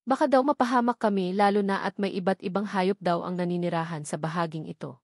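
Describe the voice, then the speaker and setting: A woman's voice: lowish voice, steady tone, quick pace, darkish tone, no echo, studio quality. A woman with a Filipino accent reading a first-person story as a scripted audiobook narration.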